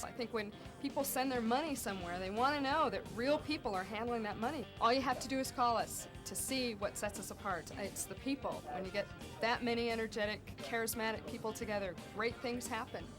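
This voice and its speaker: A woman's voice: on the high side, fairly quick, few pauses. A woman managing investor service, speaking in an upbeat, polished way in a corporate promo interview. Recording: music underneath.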